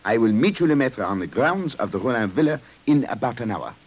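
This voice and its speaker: An actor playing a grave, commanding French police commissioner in a 1950s radio crime drama. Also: male voice, medium pitch, sing-song, fairly quick, husky. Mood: urgent, commanding. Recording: old-time radio broadcast.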